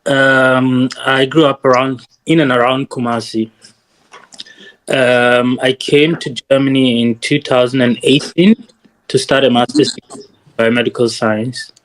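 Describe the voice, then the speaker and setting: A man, a guest in a casual interview who speaks in a measured, thoughtful way with frequent "um" pauses. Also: medium pitch, fairly even intonation, a bit bright, poor audio. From Ghana. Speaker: guest, doctoral student.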